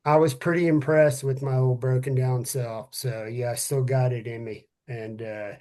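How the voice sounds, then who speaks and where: A man's voice: medium pitch, flat delivery, moderate pace, dark tone. A middle-aged man co-hosting a first responder podcast, talking earnestly and candidly with friends, casual and given to swearing. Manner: earnest, candid, salty-tongued. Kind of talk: casual heartfelt talk among friends.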